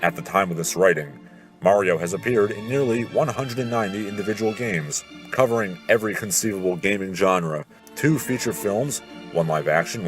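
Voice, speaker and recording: Man, very low voice, audiobook narrator, pompous theatrical delivery, classical music behind. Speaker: audiobook narrator, video host. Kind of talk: scripted audiobook reading with introduction. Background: classical orchestral music.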